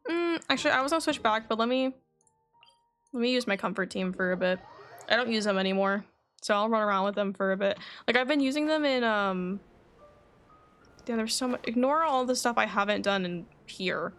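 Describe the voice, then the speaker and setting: A woman's voice: a little high, animated, fairly quick, fairly bright tone, soft background music. A woman streaming a game, chatting casually to viewers as she plays. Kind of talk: casual livestream chat and reading game dialogue aloud. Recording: faint background noise.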